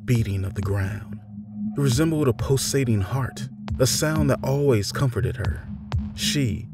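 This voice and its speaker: A man narrating a scripted fantasy story in a measured, deliberate, theatrical storytelling manner. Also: mid-range voice. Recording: music behind the voice. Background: background music.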